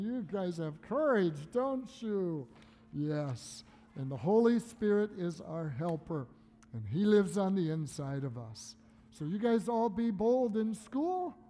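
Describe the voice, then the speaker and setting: A woman leading a children's church service, speaking in a gentle, encouraging, teacherly way. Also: mid-range voice, big-room sound. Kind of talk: children's sermon and skit. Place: church sanctuary.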